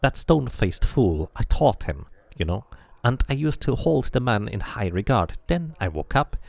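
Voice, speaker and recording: A man's voice: medium pitch, big pitch swings, normal speed, creaky, a little mellow, no echo. A man voicing a cranky, scornful old woman who gossips and mutters insults in a fantasy game. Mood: bitter, lecturing.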